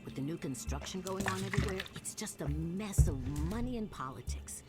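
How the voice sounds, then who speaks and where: A woman's voice: mid-range voice, expressive, fairly quick, a little mellow, faint music behind, lightly acted. An actress playing a chatty, suspicious older woman who rambles in conversation, as voice acting for a video game.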